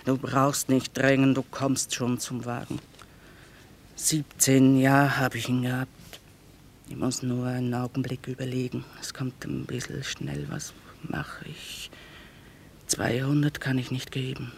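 Tough middle-aged trader mom in a radio drama, blunt haggler, earthy. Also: female voice, extremely low pitch, level tone, measured pace, creaky, slight background noise, exaggerated voice. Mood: shaken, calculating. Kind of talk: German radio play acting.

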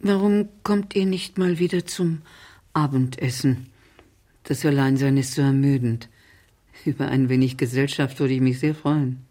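An actress playing a lonely, genteel older aunt in a radio drama, gently pressing as she talks. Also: a bass voice, measured pace. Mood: wistful, coaxing.

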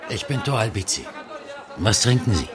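An actor playing a shady, irritable truck driver in a radio crime drama, curt, defiant and evasive. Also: male voice, fairly low pitch, very animated, normal speed, a crowd in the background. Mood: guarded.

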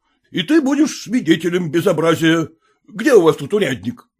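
A man voicing an irritable, pompous gentleman husband who blusters at peasants. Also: a little high, very animated, normal speed, slightly rough. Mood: indignant. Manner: indignant, blustering.

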